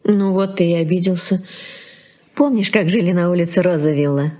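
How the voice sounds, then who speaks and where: A middle-aged wife and mother in a 1980s Soviet radio play, acted by a woman. Her voice is husky and mid-pitched, and she speaks in a conciliatory, wistful way, gently coaxing and questioning. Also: moderate pace, close to an everyday voice. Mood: wistful, reproachful.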